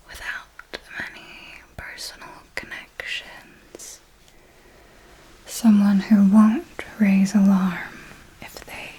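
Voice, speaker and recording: A refined vampire mentor, played by a woman, speaks in a breathy, intimate whisper. She takes her time over each formal phrase, patient and seductive with a predatory edge, in the manner of an ASMR roleplay. Her pitch sits in an average female range. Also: mellow, natural voice, poor audio. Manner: slow, measured, formal, intimate. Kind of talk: ASMR roleplay, scripted monologue.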